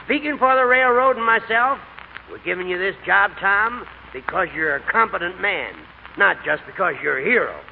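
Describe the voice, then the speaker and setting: High, creaky, pompous railroad boss. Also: male voice, animated, moderate pace. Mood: genial, formal. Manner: formal, declamatory. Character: pompous, genial railroad boss giving speeches. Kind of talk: 1940s radio drama acting, speechmaking. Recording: narrow, faintly noisy old radio broadcast.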